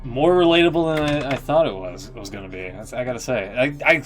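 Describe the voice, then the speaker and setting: A man's voice: medium pitch, animated, quick pace, soft background music. A man giving casual, informal live-stream commentary on a game, musing aloud and hesitantly.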